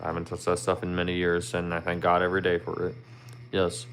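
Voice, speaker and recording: A man in his thirties with a Philadelphia accent, hosting a casual livestream. His voice sits low and comes across smooth and resonant. He rambles in a friendly way, filling gaps with "you know". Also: completely flat delivery, fast, fairly bright tone. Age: 30s. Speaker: livestream host. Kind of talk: casual livestream chat with viewers.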